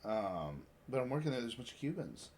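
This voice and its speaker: A man's voice: mid-range voice, big pitch swings, fairly quick, a little mellow, a little hiss or hum. An American man hosting a casual chat, speaking bluntly and swearing freely. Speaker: podcast host.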